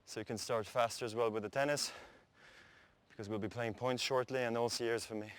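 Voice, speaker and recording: A man in his thirties whose first language is Swiss German, casually explaining his warm-up routine outdoors on a tennis court. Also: mid-range voice, a little fast, clear, resonant tone, no echo. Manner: relaxed, chatty, laughs easily. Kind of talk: casual explanation during practice.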